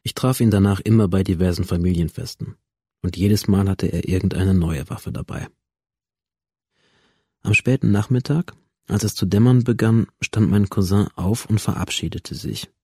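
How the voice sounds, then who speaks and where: Low, bitter, withdrawn young man. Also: moderate pace, darkish tone, husky, dry recording, studio quality. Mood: brooding, detached. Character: bitter, withdrawn young man recounting his past to a doctor. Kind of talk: radio drama, introspective first-person narration.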